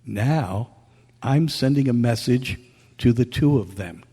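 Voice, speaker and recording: A grieving grown son, played by a male actor, tells his story of farewell with slow, solemn care. His phrasing is deliberate and wistful, in the manner of a radio drama. A long hall reverb trails the voice. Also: mid-range voice, lively intonation, noisy background. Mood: tender, resolved. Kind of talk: scripted dramatic narration in old-time radio style.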